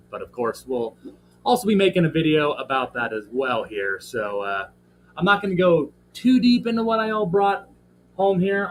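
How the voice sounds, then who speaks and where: A man with a slightly scratchy voice, hosting a casual livestream and talking in a rambling way with lots of fillers while greeting viewers in the chat. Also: a little high. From American Midwest. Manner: rambling, filler-heavy, friendly shout-outs to chat. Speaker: livestream host. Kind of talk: casual live stream chat.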